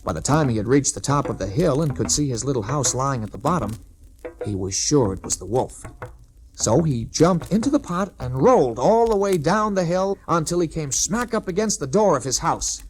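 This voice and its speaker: Animated male storyteller on an old record. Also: a little high, normal speed. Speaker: narrator. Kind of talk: 1960s scripted children's storytelling. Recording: old 1960s record, clear background noise.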